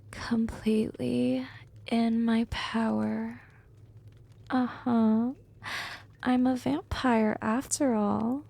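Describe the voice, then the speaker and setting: A woman playing a seductive, commanding vampire in an ASMR roleplay, breathy and whispery, coaxing and teasing in a hypnotic manner. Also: a little high, warm tone, heavily acted. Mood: smug, triumphant. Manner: slow, hypnotic, coaxing, dominant.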